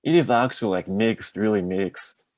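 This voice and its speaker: Young male student, lowish voice, hesitant, filler-heavy; dry room. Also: moderate pace, darkish tone, no echo. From Mongolia. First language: Mongolian. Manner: hesitant, fills with "like" and "uh". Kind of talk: classroom discussion.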